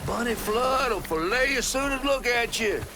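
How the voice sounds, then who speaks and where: A man's voice: very high voice, expressive, moderate pace, background noise. An actor playing a teasing, folksy Southern man in a video game, with a drawling, playful storyteller's manner and an American Southern accent. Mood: amused, teasing. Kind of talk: video game cutscene acting. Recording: loud music under the voice.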